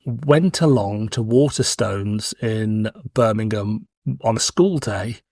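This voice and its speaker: A man's voice: fairly low pitch, takes it slowly, a little mellow, no room sound, clean studio sound. A man in his forties with a British accent, hosting a podcast in a casual, cheeky, frank chat. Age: 40s. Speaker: podcast host.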